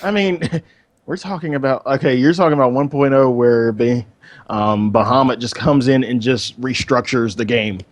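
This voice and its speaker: A man's voice: medium pitch, moderate pace, dry recording. A man chatting casually as the co-host of a gaming podcast.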